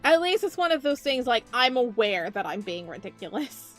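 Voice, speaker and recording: A woman's voice: fairly high pitch, big pitch swings, moderate pace, faint music behind. A woman streaming live as a VTuber, chatting casually with her viewers and joking about herself, her voice tired. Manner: chatty, talks to chat, self-mocking.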